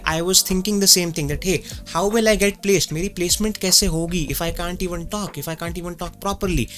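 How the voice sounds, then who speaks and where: Young Indian male host, quick earnest advice delivery, slightly high voice, mild stammer, Indian English, soft music behind. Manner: earnest, mixes English with Hindi. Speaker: YouTube host and career coach. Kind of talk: direct-to-camera advice talk.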